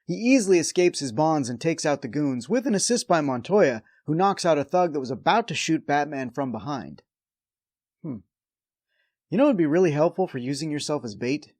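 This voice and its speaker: A man's voice: fairly high pitch, animated, a little fast, a little mellow, no room sound. A man hosting a review show, delivering scripted comedic recap narration with a wry, sarcastic edge.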